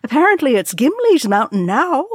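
A woman's voice: high voice, normal speed, no echo, pitched above the performer's own voice. A rowdy young dwarf at his ale in a fantasy storybook, voiced by a woman. He jeers and banters in a raised voice, close and dry. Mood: jeering. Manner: jeering, lively banter. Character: rowdy, teasing young male dwarf drinkers.

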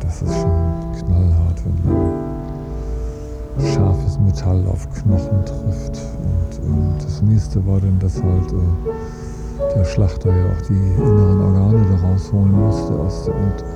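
A man calmly recalling a childhood memory in casual talk, halting with fillers and pauses. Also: deep voice, gravelly, lo-fi sound, over loud music. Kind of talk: casual spoken recollection.

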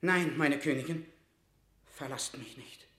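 An actor playing an anxious, dutiful royal secretary in a classic radio drama, speaking in a formal, pleading, theatrical manner. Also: male voice, on the high side, slow pace, a bit bright. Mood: alarmed, pleading. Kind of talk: classic German radio play acting of verse drama. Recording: roomy, reverberant radio drama studio.

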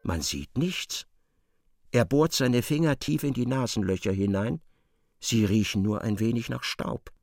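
An actor playing an intense storyteller, narrating a feverish tale for radio drama. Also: male voice, mid-range voice, animated, measured pace, creaky, a little mellow, dry recording, clean studio sound. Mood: tense.

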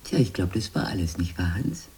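An anxious sister in a radio play, looking back on a dark childhood. Her voice is dark and husky, often sinking close to a whisper. She speaks haltingly, with a weary weight. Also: extremely low pitch, sing-song, heavily acted. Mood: somber, remembering. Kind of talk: radio drama acting.

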